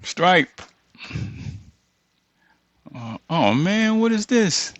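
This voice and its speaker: A man's voice: high voice, very animated, extremely slow, fairly bright tone, dry recording, highs cut off. A man hosting an unscripted tutorial, muttering to himself as he reads items off one by one and thinks aloud. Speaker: tutorial host. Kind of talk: unscripted screen-share walkthrough, thinking aloud.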